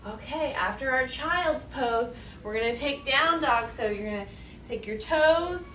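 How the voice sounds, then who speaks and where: A woman with a high voice teaches yoga to young children in an encouraging singsong. She takes it very slowly, spelling out each step in simple words. She sounds some way from the mic in an echoey room. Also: animated, a bit bright, a little hiss or hum. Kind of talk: instructing young children through poses, step by step. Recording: echoey room, distant mic, music behind.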